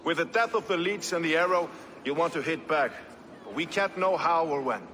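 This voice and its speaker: A man's voice: a little high, expressive, normal speed, fairly bright tone. A man playing a wry, hard-bitten, plain-spoken medieval soldier in a video game cutscene. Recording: noisy outdoor game ambience.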